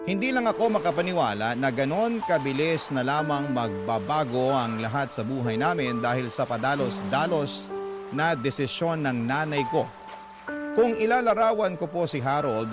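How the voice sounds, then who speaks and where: Man, scripted story narration, dramatic delivery, mid-range voice, very loud music bed. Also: mid-range voice.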